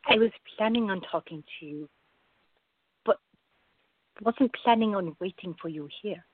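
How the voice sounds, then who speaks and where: Level-headed young woman played by an actress, slightly rough-edged voice, measured and polite, radio drama, dull recording. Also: medium pitch, dry recording, lightly acted. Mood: polite, guarded. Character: sensible, gently teasing young working woman.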